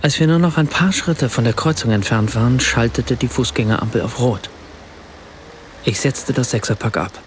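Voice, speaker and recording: An actor narrating a radio drama as a self-conscious, ironic German writer, with faint noise behind. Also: male voice, medium pitch, moderate pace, lightly acted. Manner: ironic, reflective.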